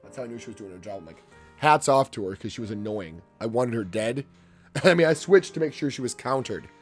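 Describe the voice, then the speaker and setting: A man's voice: medium pitch, animated, fast talker, darkish tone, slightly rough, faint music behind. A congested man chatting casually and rambling with his live chat while he paints in his home art studio. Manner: rambling, chatty, many restarts and asides to chat. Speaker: painter and livestreamer.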